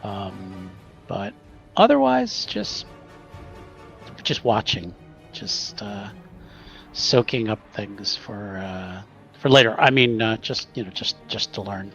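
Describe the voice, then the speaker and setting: A man playing a tabletop game, casually describing a character in a hesitant, halting manner through dull audio. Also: lowish voice, big pitch swings, creaky, fairly bright tone, soft background music. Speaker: player in a tabletop roleplaying game.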